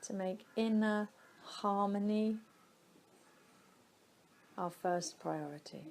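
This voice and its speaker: A woman leads a guided relaxation meditation at a very slow pace. Her delivery is soothing, with long pauses left between short phrases. The pitch sits in the middle range for a woman. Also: vocal fry, darkish tone, slight background noise. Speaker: yoga nidra guide.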